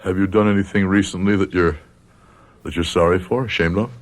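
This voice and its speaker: Middle-aged male doctor, played by an actor, radio drama, very low pitch, deliberate, grave, probing. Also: fairly even intonation, natural voice. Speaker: doctor, narrator. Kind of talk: radio drama acting with narration.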